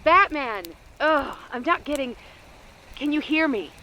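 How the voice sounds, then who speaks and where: Woman acting as insistent mission contact, forceful, questioning, faint noise, audio drama. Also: high-pitched, lively intonation, a little slow, full character voice. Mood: alarmed. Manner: urgent, questioning. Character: sharp, insistent female radio-contact sidekick.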